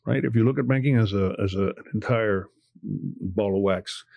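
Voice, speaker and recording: A man's voice: fairly low pitch, moderate pace, gravelly, a little mellow, dry recording, dull audio. Older man, passionate and emphatic. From Cuba. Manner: passionate, repeats words when stressing a point. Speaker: bank executive. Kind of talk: podcast interview.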